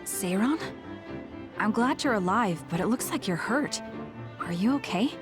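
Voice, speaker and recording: A cool young woman fighter from an anime game, played by an actress. She is direct and matter-of-fact in a medium-pitched voice, with music clearly heard underneath. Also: lively intonation, a little slow, close to an everyday voice. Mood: concerned. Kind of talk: English anime game voice acting.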